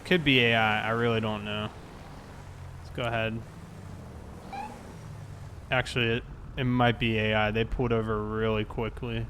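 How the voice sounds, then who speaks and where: A man's voice: lowish voice, flat delivery, normal speed, long pauses, a bit bright. A man casually chatting and commenting while live streaming a game, with an easygoing manner. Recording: clear background game vehicle noise. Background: game vehicle and ambience sounds.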